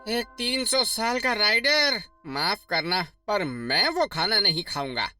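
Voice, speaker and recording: A man's voice: sits high, very animated, slow, pushed and bright, full character voice. A man voicing an anxious, whiny rescue robot in a cartoon dub. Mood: worried. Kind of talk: animated series dub. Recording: clear music behind the voice. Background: music.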